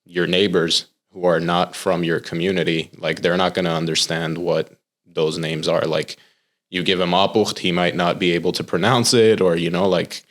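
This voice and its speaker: Young American man with a very low voice, relaxed and explanatory. He talks casually as a guest and fills his speech with "like" and "um.". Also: moderate pace. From United States. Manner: relaxed, explanatory, with filler words and laughs. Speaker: chef and pitmaster, podcast guest. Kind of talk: casual interview talk.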